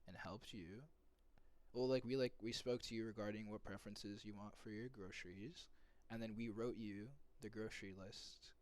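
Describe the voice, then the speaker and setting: A man's voice: on the low side, steady tone, normal speed, a little mellow. A young man from Somalia hosting a casual call, hesitant and persuasive, full of ums and likes. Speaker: host. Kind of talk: casual debate on a video call.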